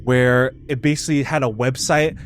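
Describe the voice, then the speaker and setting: A man's voice: medium pitch, steady tone, moderate pace. A man giving casual, opinionated video commentary in an informal, slangy way that is loose with swearing. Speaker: video essayist. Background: background music.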